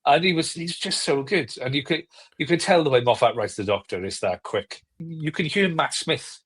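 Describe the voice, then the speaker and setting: A man's voice: a little high, lively intonation, moderate pace, fairly bright tone, breathy edge. A man chatting casually and enthusiastically as a podcast panelist, emphatic and often repeating himself for effect. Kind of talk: casual fan chat.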